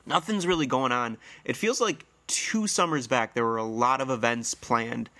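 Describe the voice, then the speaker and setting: A man in his thirties hosting a casual solo podcast, sounding congested, a little nasal and hoarse, telling stories in a rambling, friendly way. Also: medium pitch, moderate pace, bright, forceful tone. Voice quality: slightly hoarse. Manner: rambling, friendly storytelling with fillers. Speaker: podcast host.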